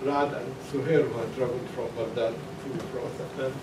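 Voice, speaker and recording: A man's voice: medium pitch, steady tone, normal speed. Man moderating from far off. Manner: stumbling, repeats words. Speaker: panel moderator. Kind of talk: introducing guests. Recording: distant, echoey, very noisy.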